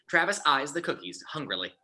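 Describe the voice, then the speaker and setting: A man's voice: fairly high pitch, big pitch swings, fairly quick, pushed and bright. A man narrating the scene headings and action lines of a screenplay at an informal table read. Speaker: narrator of stage directions.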